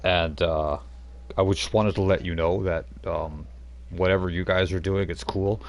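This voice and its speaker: A man's voice: low-pitched, fairly even intonation, normal speed. A man voicing a tough-talking male werewolf brawler, blunt and slangy, ending lines with "man". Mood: gruff friendly.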